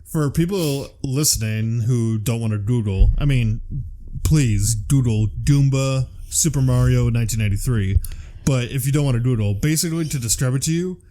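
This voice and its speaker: A man's voice: medium pitch, moderate pace, warm tone. A man hosting a podcast in casual, profane chat, reading out film trivia and riffing on it. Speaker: podcast host.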